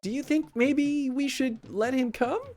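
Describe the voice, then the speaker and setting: A woman's voice: high voice, measured pace, a little mellow, faint music behind, voice pushed up. A woman voicing an eager, earnest young female monkey in a storybook. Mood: unsure.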